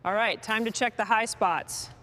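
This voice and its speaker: A woman with a fairly high voice talks herself through restoration work. Her remarks are short, clipped and approving. Faint ambient and vehicle noise sits behind her. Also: normal speed, fairly bright tone. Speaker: restorer narrating own work. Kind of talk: short task commentary during vehicle restoration.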